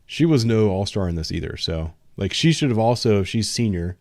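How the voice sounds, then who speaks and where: A man's voice: on the low side, expressive, brisk, darkish tone, dry recording, studio quality. A man who works as a patrol officer, talking frankly and loosely in a casual livestream discussion, with many ums and restarts. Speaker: patrol officer, show host.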